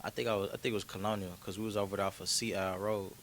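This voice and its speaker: Laid-back young man, low voice. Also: little pitch movement, racing, slight background noise. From Memphis, Tennessee. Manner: laid-back, slangy, storytelling with frequent "you feel me". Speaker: rapper guest. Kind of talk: casual interview chat.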